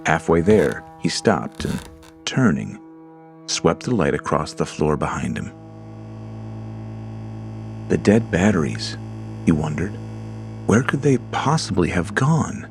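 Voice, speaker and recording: A man narrating a horror story in a slow, deliberate storytelling manner. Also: sits low, sing-song, gravelly. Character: Lars, a frightened man alone in a dark house. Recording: music under the voice.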